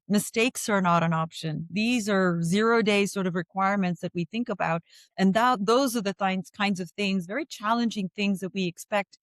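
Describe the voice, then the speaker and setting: A middle-aged woman from India, a venture fund co-founder speaking as a podcast guest in measured, articulate, long flowing sentences. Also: medium pitch, no room sound. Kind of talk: interview conversation.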